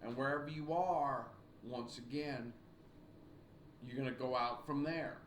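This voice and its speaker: Older man, writing teacher, slow deliberate lecturing, frequent throat clearing, webcam recording, echoey room, faint hiss. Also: medium pitch. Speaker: college writing instructor. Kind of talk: recorded video lecture to a class. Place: small home room indoors. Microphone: webcam at desk.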